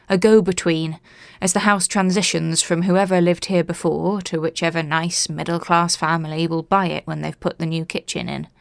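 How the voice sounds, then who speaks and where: Low-voiced woman narrating, with creaky edge. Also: dry recording, clean studio sound. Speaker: narrator reading a short story. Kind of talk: scripted literary ghost story narration.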